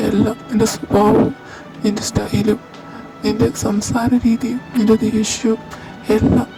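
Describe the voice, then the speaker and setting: A woman voicing an intense, possessive young man who confesses his love in a soft, halting voice. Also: fairly high pitch, little pitch movement. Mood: tender. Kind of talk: amateur audio drama. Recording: distant mic over clear music.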